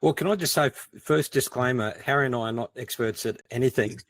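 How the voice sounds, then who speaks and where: Man, medium pitch, casual panel guest, informal, self-deprecating, dry recording. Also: brisk, no room sound. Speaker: podcast co-host as panel guest.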